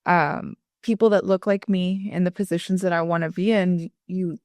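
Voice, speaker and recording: A woman attorney from South Texas talking candidly as an interview guest, earnest and reflective, often pausing with fillers and restarting her sentences. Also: on the low side, fairly even intonation, darkish tone, no room sound. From Rio Grande Valley, Texas.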